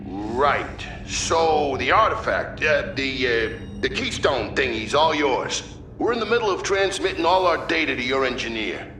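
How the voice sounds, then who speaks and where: An actor playing a gruff human engineer in a sci-fi game, talking in an informal, awkward, blue-collar way over a transmission. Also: male voice, mid-range voice, big pitch swings, measured pace. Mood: awkward, friendly. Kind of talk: casual sci-fi game character acting. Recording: noisy, echoing, distant transmission.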